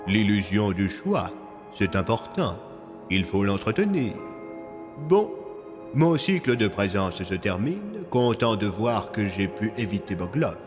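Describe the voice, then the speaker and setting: Man playing a serene, measured all-knowing being. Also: fairly low pitch, animated, big-room sound, over music. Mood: calm, measured. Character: serene, mysterious all-knowing male being, mildly haughty.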